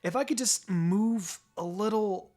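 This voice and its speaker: A man's voice: high-pitched, measured pace, darkish tone. A man reading fan fiction aloud as a dramatic first-person story. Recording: music behind the voice. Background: background music.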